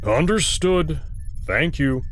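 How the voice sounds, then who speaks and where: A man voicing an impatient, skeptical alien commander in a sci-fi visual novel, giving terse, deliberate commands. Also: fairly high pitch, lively intonation, fairly bright tone, soft background music.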